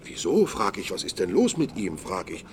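Nosy chatty acquaintance, low voice, rambling gossip, radio play with faint noise behind. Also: male voice, wildly swooping pitch, moderate pace. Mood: gossipy, mournful. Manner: conversational, rambling anecdote-telling. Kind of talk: radio drama dialogue.

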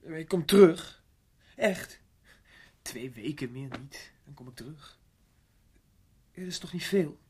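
A man's voice: fairly high pitch, expressive, takes it slowly, long pauses, a little mellow, slight background noise. An actor playing a needy, uneasy young man in a radio drama, pleading and often asking for agreement. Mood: defensive, pleading. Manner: pleading, seeking agreement with tag questions.

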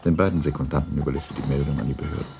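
Very deep, creaky male narrator. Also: steady tone, racing, noisy background. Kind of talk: scripted literary narration in a radio play.

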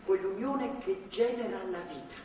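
An actress playing a devout, motherly older woman, a steadfast captor who speaks gently and earnestly in a stage drama. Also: mid-range voice, normal speed, close to an everyday voice. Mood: earnest, firm. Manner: earnest, soothing, firm. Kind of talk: theatrical stage drama acting. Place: theatre stage, far microphone. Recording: echoey theatre hall, distant, noisy.

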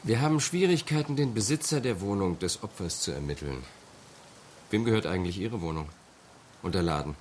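An actor playing a suave police captain in a radio crime drama, questioning in a polite, insistent way. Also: male voice, sits low, animated, a little fast, a little mellow, slight background noise. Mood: smooth, probing. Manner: polite, probing.

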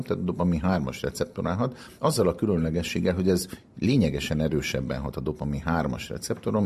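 A man's voice: very deep voice, darkish tone, breathy edge. A man speaking as a research director in an interview, explaining science in long sentences and restating his terms as he goes.

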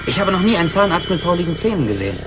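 Sure-of-himself cop in radio drama, rattles it off fast, music behind. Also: male voice, high-pitched, sing-song. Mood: confident, brisk. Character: quick, confident police detective, matter-of-fact.

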